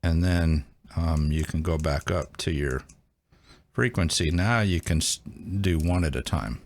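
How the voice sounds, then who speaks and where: Deep-voiced man giving a casual tutorial. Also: unhurried, slight background noise. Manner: informal, thinking aloud while demonstrating. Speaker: host of a radio how-to channel.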